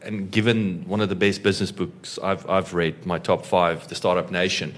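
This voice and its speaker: A man's voice: sits low, flat delivery, moderate pace. Chatty male host, echoey room. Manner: enthusiastic, repeats words when starting questions. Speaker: podcast host, interviewer. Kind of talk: chatty interview.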